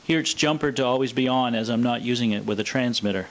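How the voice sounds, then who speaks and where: A man presenting scripted technical narration in a measured, matter-of-fact manner. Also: mid-range voice, slight background noise. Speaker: presenter of a vintage radio demonstration.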